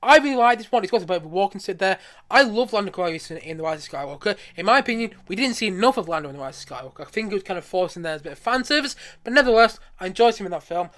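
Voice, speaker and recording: A man with a high voice talks at a rapid clip as he hosts a casual live stream. He is enthusiastic and rambles along, circling back to repeat his points. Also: animated, fairly bright tone. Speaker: streamer showing a collection.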